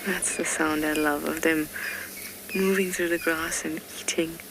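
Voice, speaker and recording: A safari guide explains things to viewers during a live drive, keeping the voice low and sometimes whispering. The pitch is medium. A faint hiss runs behind the voice. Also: level tone, unhurried. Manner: hushed, drops to a whisper near animals. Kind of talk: live game drive commentary. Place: outdoors in the bush.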